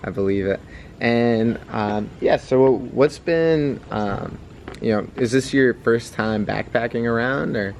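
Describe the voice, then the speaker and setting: A man's voice: mid-range voice, slow, gravelly, darkish tone, a little hiss or hum. A man interviewing a traveler, asking questions in a hesitant, filler-heavy way. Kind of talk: casual interview chat.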